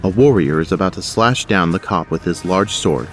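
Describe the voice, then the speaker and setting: A man's voice: deep voice, moderate pace, soft background music. A man narrating a scripted action audio drama.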